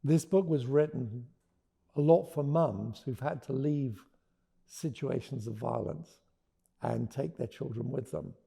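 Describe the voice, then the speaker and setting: A man speaking as a children's storyteller, with earnest, deliberate phrasing. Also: medium pitch, gravelly, dark tone, slightly rough. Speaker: author and storyteller. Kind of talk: expressive picture-book read-aloud and informal explanation.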